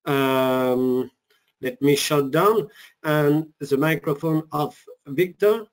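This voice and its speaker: A man with a French accent presenting a software webinar, halting with many fillers. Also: on the high side.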